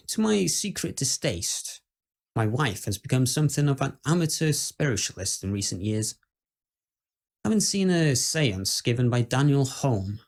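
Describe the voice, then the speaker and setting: Man acting a formal, uneasy Victorian gentleman storyteller. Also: medium pitch. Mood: uneasy, wry. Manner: formal, old-fashioned, measured phrasing. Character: dread-filled, skeptical Victorian gentleman recounting house ghosts. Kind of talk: first-person dramatic ghost-story monologue.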